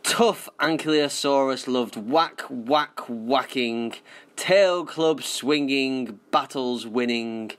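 A man reading a children's picture book aloud, deliberate and emphatic on action words. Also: medium pitch, fairly bright tone. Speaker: school staff reader. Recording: roomy, echoey sound.

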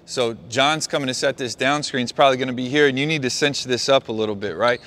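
A middle-aged man, a basketball coach, walking his players through a play on the court and calling out quick praise. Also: medium pitch, a bit bright. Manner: talks players through a walk-through, calls out praise. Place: large indoor gym.

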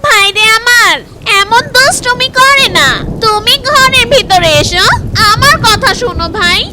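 A woman's voice: very high-pitched, a little slow, very noisy, voice pushed up. A woman voicing a young bird chick, a dutiful and protective big sister in a cartoon, with pleading childlike delivery.

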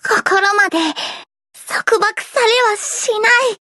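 Very high-voiced theatrical gothic anime girl. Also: steady tone, slow pace, no echo, clean studio sound, exaggerated voice. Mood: defiant, grandiose. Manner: switches between pompous archaic declarations and soft girlish plain talk. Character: theatrical gothic teenage idol girl, grandiose yet sweetly shy. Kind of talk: Japanese anime idol game voice lines.

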